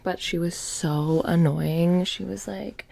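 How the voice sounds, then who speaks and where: A woman playing a petty, blasé immortal witch shopkeeper in a soft-spoken ASMR roleplay, rambling casually and slipping into whispers. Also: fairly low pitch, steady tone, slow pace, a little hiss or hum, heavily acted. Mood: blasé, mildly annoyed. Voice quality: soft, breathy, creaky. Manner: casual rambling, many "like" fillers, drifting into whispers. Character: blasé, petty immortal witch running a haunted trinket shop.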